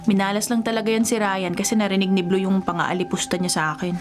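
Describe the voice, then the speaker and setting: A woman's voice: medium pitch, flat delivery, fast, natural voice. An actress playing a boyish, easygoing and caring young woman in a radio drama, speaking casually. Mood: musing. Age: young adult. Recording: music bed behind radio drama dialogue. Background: music.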